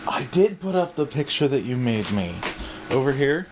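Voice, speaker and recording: A man's voice: medium pitch, very animated, moderate pace. A man from the American South chatting casually and thinking aloud as he vlogs in his kitchen. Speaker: vlogger and host. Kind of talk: casual morning vlog chat while doing kitchen tasks. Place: home kitchen, indoors. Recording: noisy kitchen with loud appliance hum. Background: running dryer, clattering dishes.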